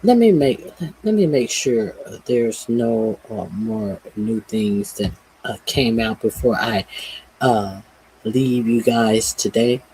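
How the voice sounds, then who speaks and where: A man hosting a political commentary monologue, speaking haltingly with many "uh"s and a tone of exasperated disbelief. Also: fairly low pitch, lively intonation, a little mellow, slight background noise, poor audio.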